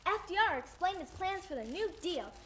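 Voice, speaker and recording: Girl acting as high-voiced old-time radio hostess. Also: lively intonation, brisk, pushed and bright, slight background noise, heavily acted, rough recording. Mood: earnest, presentational. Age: young teenager. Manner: theatrical, earnest, presentational. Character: chipper young female host of an old-time radio show. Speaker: radio show co-host. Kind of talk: scripted 1940s radio show acting.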